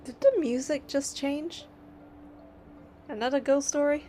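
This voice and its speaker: A woman's voice: high voice, steady tone, measured pace, mellow, slight background noise. A woman giving casual commentary as she plays through a game. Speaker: gamer commenting on playthrough.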